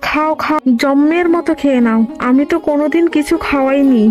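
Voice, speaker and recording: মহিলার অভিনয়ে চড়া গলার কুচুটে শাশুড়ি. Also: female voice, high voice, a little fast, music in the background, lightly acted. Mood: bitter, sarcastic. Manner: sarcastic, self-pitying, accusing. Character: spiteful, complaining middle-aged mother-in-law of a village household. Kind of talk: Bengali fairy-tale cartoon dub.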